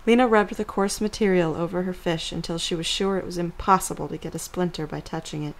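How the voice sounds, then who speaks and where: A woman narrates a scripted story. Her voice sits a little low for a woman, and she reads prose as the narrator of a drama. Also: fairly even intonation, fairly quick.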